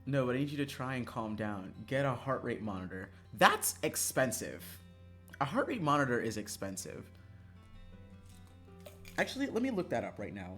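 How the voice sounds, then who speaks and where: Excitable sweary streamer chatting over a game, voice jumps all over, bit of music behind. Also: mid-range voice, moderate pace. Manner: excitable, mock-angry outbursts between calm asides. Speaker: livestreamer. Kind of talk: casual chat while playing a visual novel. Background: background music.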